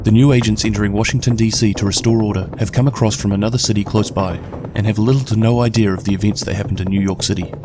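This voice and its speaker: A man with a New Zealand accent narrates game lore as the host of a video. His voice sits on the low side. Music plays prominently behind his scripted delivery. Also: fairly even intonation, fast talker, hardly any pauses. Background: prominent background music.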